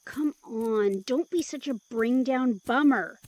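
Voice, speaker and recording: A woman's voice: a little high, slow, a little mellow, dry recording. A woman playing an eager, playful, stubborn young woman in an intimate audio drama, perky and insistent.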